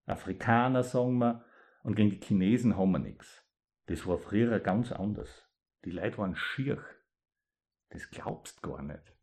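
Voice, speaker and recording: A man from East Styria with an East Styrian Austrian accent, a poet reading his dialect poems and then talking about them thoughtfully, with pauses. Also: lowish voice, darkish tone. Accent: East Styrian Austrian German.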